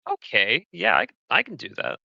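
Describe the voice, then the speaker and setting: A man chats casually as a player in a tabletop game. His voice is very bright with a gravelly fry and animated pitch, captured close and dry with slightly dull fidelity. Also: normal speed, no room sound. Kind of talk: casual game chat, speaking for his character in third person.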